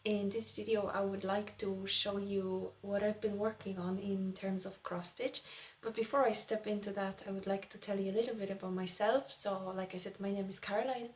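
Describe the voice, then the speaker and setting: A woman's voice: mid-range voice, level tone, normal speed, recorded from a distance, slight background noise. A woman in her early thirties from Poland, chatting casually to viewers as host of a craft vlog.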